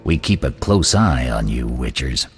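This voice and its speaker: An actor playing a stern, guarded captain of city guards in a video game, curt and authoritative. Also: male voice, a bass voice, lively intonation, unhurried, breathy edge, soft background music, full character voice. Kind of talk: video game character acting.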